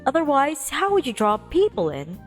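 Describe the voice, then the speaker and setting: A woman's voice: sits high, huge pitch swings, normal speed. A woman livestreamer reading a visual novel aloud expressively, with playful casual asides. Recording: music behind the voice. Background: music.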